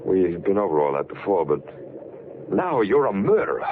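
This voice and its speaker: An authoritative male psychiatrist in an old radio thriller, probing and emphatic. His low voice moves with big swings of pitch on a tinny, noisy recording. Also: sing-song, moderate pace. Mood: grave, probing. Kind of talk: 1940s radio thriller acting.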